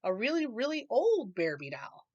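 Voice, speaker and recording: A woman's voice: on the high side, sing-song, moderate pace, lo-fi sound. A woman voicing a curious, inquisitive little girl bear cub in a children's storybook.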